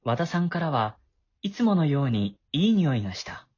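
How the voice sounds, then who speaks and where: A man's voice: fairly high pitch, very animated, normal speed, darkish tone, slightly rough, dry recording. Guy doing a shy awkward middle school boy w a crush, flustered n stammery, voice jumps around, kinda dull lo-fi audio. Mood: wistful narration. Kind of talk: amateur voice drama acting with narration.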